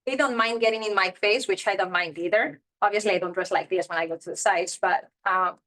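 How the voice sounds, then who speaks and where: A woman's voice: medium pitch, brisk, bright, forceful tone. A woman, chatting candidly as an interview guest and often saying "you know" and "like". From Spain. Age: 30s. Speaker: interview guest, real estate investor. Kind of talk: casual interview chat.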